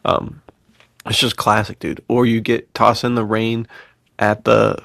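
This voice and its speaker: A man's voice: lowish voice, flat delivery, unhurried, gravelly, fairly bright tone, no room sound, clean studio sound. An American man chatting casually as a podcast co-host, slangy and bro-ish as he tells personal stories.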